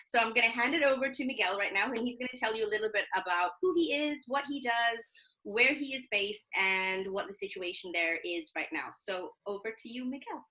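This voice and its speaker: A woman's voice: fairly high pitch, a little fast, sharp tone. A woman hosting a chat show over a video call, upbeat and friendly as she introduces and questions her guest. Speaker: host.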